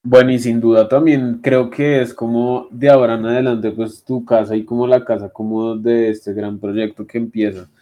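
Mellow-voiced male radio host. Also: medium pitch, flat delivery, normal speed, hardly any pauses. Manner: casual, many fillers like "como" and "pues". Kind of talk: radio interview hosting. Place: radio studio.

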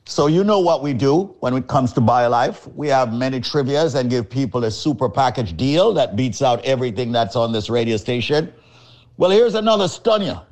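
A male radio host taking calls, with quick salesman patter and short interjections. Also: medium pitch, animated, a little mellow. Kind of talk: call-in radio talk.

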